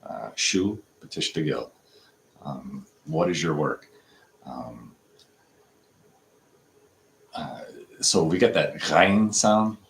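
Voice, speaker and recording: A man in his fifties giving a casual, halting practice lesson, breaking phrases down word by word with many pauses and fillers. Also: extremely low pitch, animated, vocal fry, slight background noise, poor audio. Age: 50s. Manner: slow, halting explanations with many fillers, breaks words down piece by piece. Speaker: language learner teaching from a textbook dialogue.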